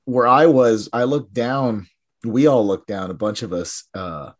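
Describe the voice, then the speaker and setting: A man co-hosting a podcast in casual chat, his voice raspy from a weekend of yelling, rambling and trailing off into mumbles. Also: mid-range voice, measured pace. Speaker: podcast co-host.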